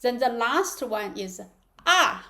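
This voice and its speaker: A woman teaching a live online class, speaking haltingly with many fillers and drilling syllables aloud. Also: a little high, very animated, over a noisy crowd. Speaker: language teacher. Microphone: video call.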